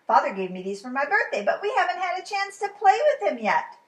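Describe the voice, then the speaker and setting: A woman's voice: very high-pitched, sing-song, fairly quick, echoey room, pitched above the performer's own voice. A woman voicing an earnest, naive young boy in a storybook, with an innocent, questioning manner. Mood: eager. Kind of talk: storybook character voice.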